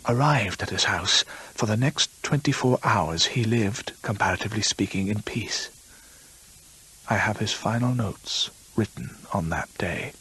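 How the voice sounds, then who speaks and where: An actor playing a grave gentleman storyteller, narrating a radio drama in measured, literary phrases. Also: on the low side, breathy edge, full character voice, poor audio. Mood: grave, pitying. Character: measured gentleman storyteller recounting another man's ghostly fate.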